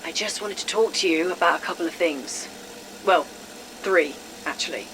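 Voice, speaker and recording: A woman's voice: fairly low pitch, moderate pace, fairly bright tone, a little hiss or hum, lightly acted, low-quality recording. A woman playing a tired, exasperated and sardonic scientist in an audio drama. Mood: guarded.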